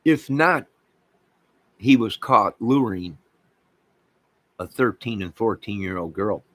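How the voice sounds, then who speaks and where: A man with an American accent and a fairly low voice speaks as an online channel host. He rants with strong opinions straight to his chat viewers. His delivery is emphatic, hammering points home by repeating them. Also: animated, takes it slowly, dry recording. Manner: emphatic, repeats phrases, mocks opposing views sarcastically.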